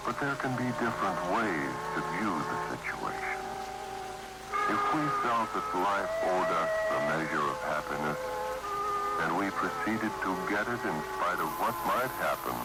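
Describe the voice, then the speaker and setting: A man opening a 1940s radio drama in a slow, portentous, theatrical manner. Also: low-pitched, expressive, poor audio. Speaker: radio show announcer. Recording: old radio broadcast with loud music.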